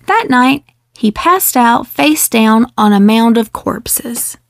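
A woman reading a picture book aloud in a measured, expressive storytelling delivery. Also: on the high side, no room sound, clean studio sound. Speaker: children's book reader. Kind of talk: scripted storybook read-aloud.

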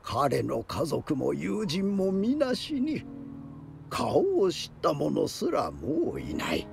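An actor playing a theatrical storyteller of eerie legends, speaking with deliberate suspense and a gravelly creak. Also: male voice, on the high side, huge pitch swings, a little hiss or hum, heavily acted. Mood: suspenseful, grave. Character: theatrical male storyteller spinning eerie mountain legends, dramatic, knowing. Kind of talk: dramatic oral storytelling. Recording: loud music under the voice.

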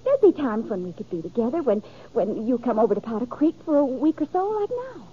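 A woman's voice: on the high side, sing-song, brisk. An actress playing an eager, proud and easily flustered frontier bride-to-be in a 1950s radio western, earnest and often restarting her words. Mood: earnest, pleading. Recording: tinny, noisy 1950s radio recording.

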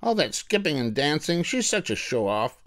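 A man's voice: a little high, big pitch swings, moderate pace, a bit bright, pitched above the performer's own voice. A man voicing a grumpy young koala boy in a storybook, growling his complaints and protests.

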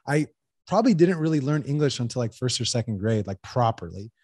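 A man in his thirties talking casually as an interview guest, rambling with lots of fillers, into a boom mic in a small room. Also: brisk, dry recording, studio quality. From United States. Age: 30s. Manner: rambling, filler-heavy, animated hand gestures. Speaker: guest entrepreneur. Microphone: headset with boom studio mic.

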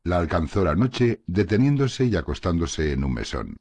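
A man with a husky voice reading a classic novel aloud as an audiobook narrator, in a measured, steady manner. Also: low voice, no room sound. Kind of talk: scripted literary narration.

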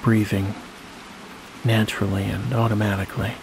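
A man leading a guided sleep meditation in a slow, soothing manner, leaving long pauses between phrases. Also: lowish voice, gravelly. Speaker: hypnosis guide. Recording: steady rain-like noise behind voice.

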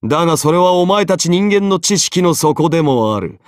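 A man's voice: on the high side, animated, normal speed, dry recording, clean studio sound, lightly acted. An actor playing a haughty, easily bored golden-armored king in an anime game, pompous, imperious and condescending. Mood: arrogant, condescending. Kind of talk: dramatic anime game character voice acting.

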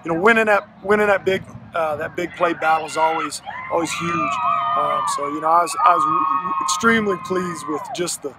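An American man in his thirties, a high school football coach, giving a postgame interview in a halting, folksy way with repeated words. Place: outdoor football field at night. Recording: noisy outdoor field, vehicle-like ambience.

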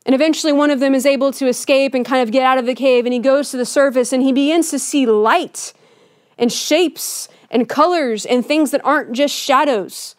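High-voiced woman preaching, dry sound. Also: normal speed, no room sound. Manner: conversational and earnest, with frequent hedges like "kind of". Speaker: pastor. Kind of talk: Christmas sermon. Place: church sanctuary with a Christmas tree.

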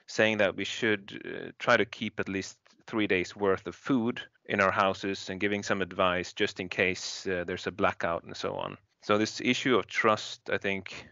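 Norwegian man, low voice, reflective seminar contributor, dry but dull video-call sound. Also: steady tone, a little slow, vocal fry, hardly any pauses, pushed and bright, no echo. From Norway. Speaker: research seminar participant. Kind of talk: spoken discussion comment.

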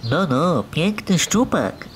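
Casting: male actor as naive, good-natured cartoon fishing pal, highish voice, rather slow colloquial delivery. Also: expressive, a little hiss or hum. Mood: excited. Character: naive, simple, cheerful chubby fishing buddy. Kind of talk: cartoon dialogue.

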